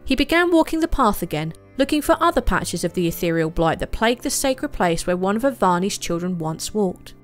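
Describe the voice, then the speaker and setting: A woman narrates a fantasy story from a script. Her pitch is average but moves in an animated way, with light music underneath. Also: brisk, few pauses.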